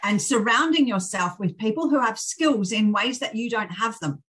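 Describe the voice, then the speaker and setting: Bright, blunt Australian woman. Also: a little high, moderate pace, slightly rough. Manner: blunt, energetic, plain-spoken. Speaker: charity founder, guest. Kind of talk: candid interview chat.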